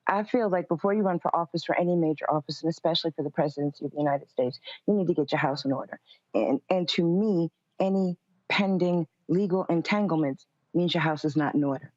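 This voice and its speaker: A woman speaking as a political commentator in a live interview, measured and careful in how she answers. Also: deep voice, darkish tone, dry recording, muffled recording.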